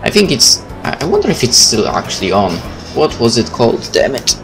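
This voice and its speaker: A man's voice: medium pitch, huge pitch swings, brisk, creaky. A man, a native Polish speaker, hosting a game let's play, reading aloud and commenting casually. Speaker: gaming video host. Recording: faint game music behind.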